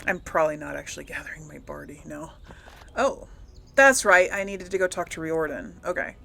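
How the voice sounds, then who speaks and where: A woman's voice: on the low side, vocal fry, a bit bright. A woman giving casual, musing commentary as she role-plays through a video game. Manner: musing, thinking aloud. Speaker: gaming video host.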